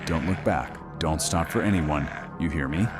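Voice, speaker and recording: Deep-voiced, sardonic radio host. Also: male voice, normal speed. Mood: urgent, commanding. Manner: dry, sardonic, commanding. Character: gruff late-night radio host, cool, commanding, sardonic. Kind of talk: modern horror audio drama acting. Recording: music behind the voice.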